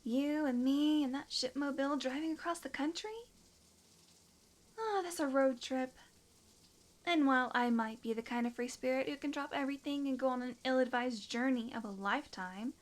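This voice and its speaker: A sly female vampire, acted by a woman for an intimate audio roleplay. Her voice sits high and she talks in a sarcastic, flirtatious way, slipping into soft murmurs. She sounds hungry, cheeky and defiant. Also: moderate pace, close to an everyday voice. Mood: sardonic, teasing. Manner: flirtatious, sardonic, dropping into breathy murmurs.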